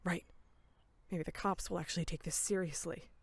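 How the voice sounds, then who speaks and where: A woman's voice: on the low side, steady tone, moderate pace, vocal fry, breathy edge, no room sound. A woman playing a serious, determined young camp counselor in a horror audio drama, earnest and firm. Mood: focused. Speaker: voice actor.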